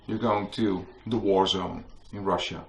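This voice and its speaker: A man from the Netherlands with a Dutch accent, telling a story to an audience at a book talk and often pausing to search for English words. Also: low-pitched, steady tone. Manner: hesitant, searching for English words, many fillers. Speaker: author giving a book talk. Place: museum hall with a live audience.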